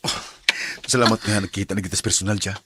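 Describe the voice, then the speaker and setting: An actor playing a smitten, insistent, smooth-talking suitor in a radio drama, with a Visayan Filipino accent. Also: male voice, on the low side, flat delivery, quick pace, over music, natural voice. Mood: reassuring.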